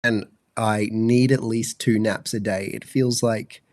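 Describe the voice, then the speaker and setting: A man's voice: on the low side, steady tone, a little slow, no echo, studio quality. A man in his twenties with an Australian accent, co-hosting a podcast, sounding congested and fluey, rambling with many fillers. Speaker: podcast co-host. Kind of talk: casual chat.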